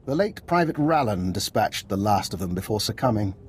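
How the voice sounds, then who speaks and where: An actor playing an unflappable, chatty doctor working as a spy in a video game, precise and breezy. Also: male voice, lowish voice, very animated, fairly quick, a little hiss or hum. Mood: breezy, unconcerned. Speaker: intelligence scientist.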